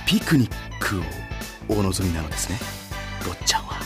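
A fervent, wildly swooping mansion butler. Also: male voice, deep voice, big pitch swings, a little fast. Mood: grave, formal. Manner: formal, grandiose, fervently loyal speech. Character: devoted, over-zealous male butler of a mansion. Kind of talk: anime-style audio drama acting. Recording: clear music bed behind the voice.

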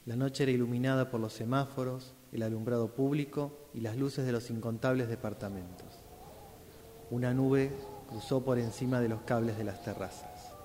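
A man of medium pitch narrates a scripted radio drama text. The recording is reverberant, with clear noise. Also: fairly even intonation, normal speed, warm tone. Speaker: radio drama workshop participant.

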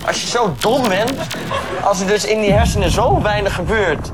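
A man's voice: high-pitched, normal speed. A man on a casual, absurd comic rant, repeating himself and acting out imagined exchanges. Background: loud music.

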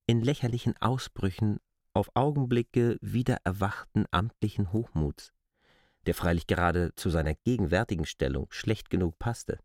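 Lowish-voiced male literary narrator. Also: moderate pace, no room sound, clean studio sound. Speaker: narrator in a radio play.

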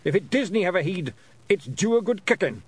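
A man's voice: high voice, big pitch swings, normal speed, slightly rough, no room sound, pitched above the performer's own voice, exaggerated voice. A man voicing a belligerent little pixie brawler, growling in a Scottish accent, in a storybook reading. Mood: cocky, belligerent. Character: burly tiny male pixie brawler, cocky and belligerent.

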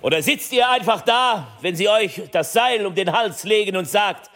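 A man's voice: high-pitched, moderate pace, background noise, close to an everyday voice. An actor playing a fiery, militant, challenging male civil-rights agitator giving a rally speech in a radio collage.

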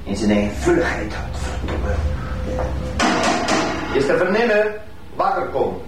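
A man with a Flemish accent playing an excitable, grumbling junior detective in a TV police drama. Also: mid-range voice, unhurried, loud music behind the voice. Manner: colloquial, excitable. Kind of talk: naturalistic TV police drama acting.

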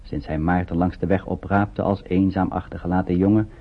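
A man narrates a scripted radio drama in a measured, storytelling way. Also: low-pitched, level tone, husky. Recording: muffled narrow-band old radio recording.

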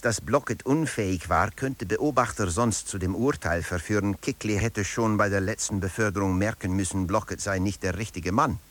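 An actor playing a management theorist who lectures with sly satire and emphatic, deliberate phrasing in a radio drama. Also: male voice, low-pitched, exaggerated voice. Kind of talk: scripted audio drama lecture.

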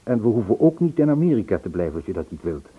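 A man's voice: on the low side, very animated, quick pace, breathy edge. An actor playing an earnest, lovesick man searching for a woman in an old Dutch radio play. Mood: earnest, pleading. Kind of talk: Dutch radio play, acting and first-person narration. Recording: tinny phone-like old radio recording.

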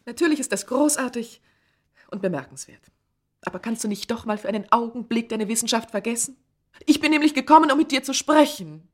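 Actress as an exasperated, sensible higher-voiced woman. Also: fairly quick, close to an everyday voice. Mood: reproachful. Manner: firm, reproachful. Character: exasperated, sensible woman arguing with her suitor. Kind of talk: German radio play acting.

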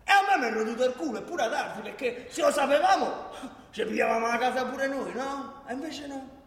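A bitter working-class local with a thick Roman accent in a radio drama. His voice is pitched very high, indignant and sarcastic. Long hall reverb rings around it. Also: fairly quick, fairly bright tone. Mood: bitter, aggrieved. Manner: sarcastic, indignant, listing grievances. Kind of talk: theatrical radio play dialogue. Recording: echoey hall.

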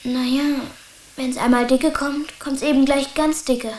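A plucky, troubled schoolboy with a high voice. The delivery is colloquial and matter-of-fact, in radio drama style, recorded with a roomy echo. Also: female voice, fairly even intonation, a little slow. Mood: sheepish. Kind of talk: German radio drama acting.

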